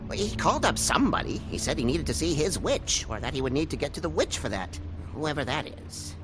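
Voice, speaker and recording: An actor voicing a nervous, apologetic, hungover monkey office helper in a video game. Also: male voice, on the high side, very animated, brisk, over music. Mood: flustered. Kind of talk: animated video game acting.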